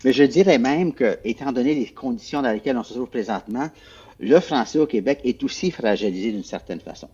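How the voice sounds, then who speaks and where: A man, a former official, answering interview questions in a measured, explanatory way, often repeating words as he searches for them. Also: mid-range voice, expressive, warm tone, husky, muffled recording. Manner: measured, explanatory, hesitant repetitions.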